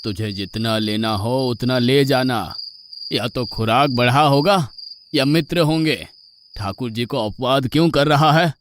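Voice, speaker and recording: Man voicing a slow-spoken, stern ashram guru. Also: mid-range voice, slight background noise, close to an everyday voice. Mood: scolding. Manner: scolding, measured. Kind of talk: animated devotional story acting.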